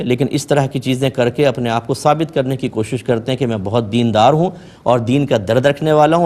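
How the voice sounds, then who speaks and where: A man's voice: mid-range voice, darkish tone. Man giving admonishing Islamic religious guidance. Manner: explanatory, admonishing. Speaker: Islamic scholar answering religious questions. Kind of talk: religious advice talk.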